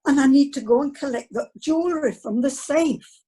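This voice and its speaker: A woman's voice: high voice, a little fast, mellow, close to an everyday voice. A woman playing a grudging middle-aged wife on a cruise in an amateur radio drama. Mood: concerned.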